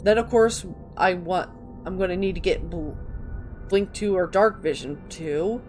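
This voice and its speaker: A woman's voice: lowish voice, unhurried, darkish tone. Woman gaming host with music behind her. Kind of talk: reading game books aloud and casual gameplay commentary.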